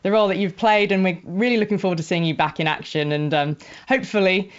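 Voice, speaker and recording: A woman's voice: on the low side, steady tone, fast, dull audio. A woman hosting a sports interview show, chatty and conversational, putting long linked questions to guests.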